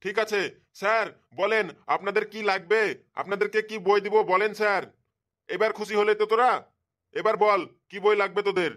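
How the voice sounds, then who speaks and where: A man's voice: high-pitched, flat delivery, fairly quick, fairly bright tone. A man voicing a grumpy, bald old bookshop keeper in a comedy cartoon, scolding and sarcastic. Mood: gruff, businesslike. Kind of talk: comic cartoon dubbing.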